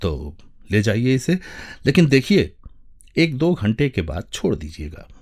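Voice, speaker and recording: A man's voice: medium pitch, very animated, unhurried, a little mellow. A man voicing a businesslike male pimp, polite and transactional, in a dramatic story reading. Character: businesslike, wheedling male pimp closing a deal.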